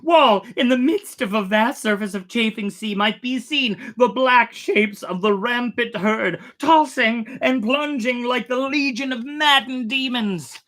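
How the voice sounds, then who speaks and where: A storyteller reading a tale aloud dramatically, theatrically swelling and hushing. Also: medium pitch, unhurried, hardly any pauses. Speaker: storyteller, host.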